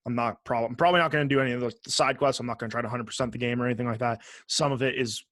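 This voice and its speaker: A man's voice: mid-range voice, steady tone, very fast, fairly bright tone, no room sound, studio quality. A man co-hosting a podcast, chatting casually about video games with wry mock-formal phrasing and some swearing. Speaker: podcast co-host.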